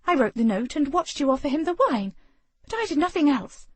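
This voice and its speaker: A defensive young lady, as a woman puts her on, her denials hurried and pitched very high. The recording is close and dry. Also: animated, no echo. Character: defensive young noblewoman, twin sister.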